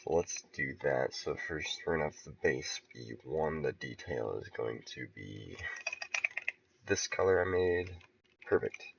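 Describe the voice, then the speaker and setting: A man casually talking through his level building on a stream, relaxed and thinking aloud with soft chuckles. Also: extremely low pitch, unhurried, vocal fry, dull audio, slight background noise. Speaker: game level creator streaming. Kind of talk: casual commentary while building.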